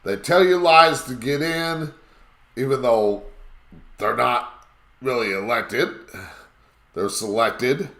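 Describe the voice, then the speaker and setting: A man with a raspy voice hosting a live call-in talk show, talking in a rambling, emphatic way. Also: mid-range voice, slow pace, pauses often, fairly bright tone, roomy sound.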